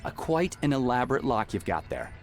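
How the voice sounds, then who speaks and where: An actor playing an easygoing, curious young tinkerer, the wry and casual hero of an adventure video game. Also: male voice, medium pitch, big pitch swings, a little fast, soft background music. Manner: wry, casual, musing aloud. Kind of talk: video game character dialogue.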